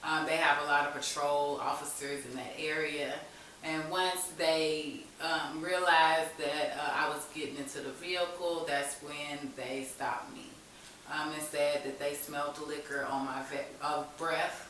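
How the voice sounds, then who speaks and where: Bright-voiced woman in a very echoey room. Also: low voice, flat delivery, a little slow. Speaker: interview guest. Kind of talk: candid personal storytelling in conversation. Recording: very echoey room, distant mic, faint hiss.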